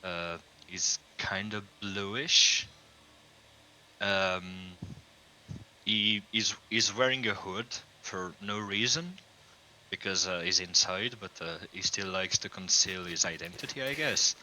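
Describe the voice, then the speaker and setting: A man with a Portuguese accent, a tabletop game player describing his character in a relaxed, rambling way. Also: low-pitched, slow pace, poor audio. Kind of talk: casual chat.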